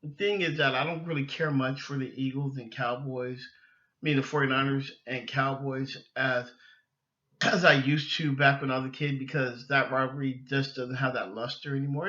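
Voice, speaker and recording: A middle-aged man hosting a sports podcast solo, giving opinions emphatically and haltingly, repeating phrases as he goes. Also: mid-range voice, bright, forceful tone, dull audio.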